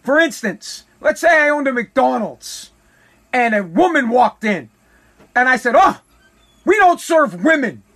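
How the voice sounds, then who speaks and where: A man with a very high speaking voice, worn hoarse from shouting. He rants in a bombastic, rambling way, tripping over his words and repeating himself as he hosts a comedy podcast. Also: very animated, unhurried. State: hoarse, lost voice. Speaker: podcast host. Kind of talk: comedic political rant.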